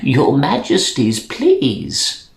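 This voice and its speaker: A storyteller voicing a kindly old woman who offers to read a sleepless prince to sleep, speaking high and coaxing. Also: huge pitch swings, very slow, vocal fry, reverberant, exaggerated voice. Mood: gentle.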